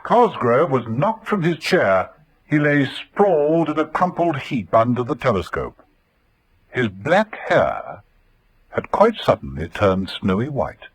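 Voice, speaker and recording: A man narrating an old-time radio serial in a measured, dramatic storytelling manner. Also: medium pitch, very animated, breathy edge, no echo.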